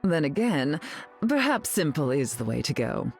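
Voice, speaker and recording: A woman's voice: low-pitched, sing-song, normal speed, soft background music. A woman playing a haughty, glamorous, sardonic society queen in a video game, imperious and arch in manner. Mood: imperious, cool. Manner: imperious, arch, drawling endearments. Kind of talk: video game character dialogue.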